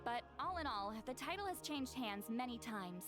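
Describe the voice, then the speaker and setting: An actress voicing a proud, fussy old shaman woman in a game, in a measured, slightly dramatic way, with game music behind. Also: fairly high pitch, lively intonation, natural voice. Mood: solemn.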